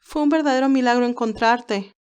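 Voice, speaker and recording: A feisty, sweet young nurse from a romantic audio story, acted out by a woman. She speaks in a girlish, expressive way, with plenty of emphasis and feeling. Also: on the high side, brisk, smooth, voice pushed up, lightly acted. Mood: upset, tearful. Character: Candy, sweet, feisty young nurse, easily moved and teasing.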